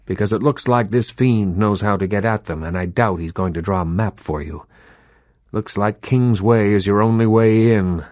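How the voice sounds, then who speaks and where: Man voicing a deep, measured, proud vampire elder. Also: darkish tone, dry recording, studio quality, close to an everyday voice. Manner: measured, theatrical, world-weary authority. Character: proud old-fashioned vampire elder, Hollywood baron. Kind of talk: scripted video game dialogue.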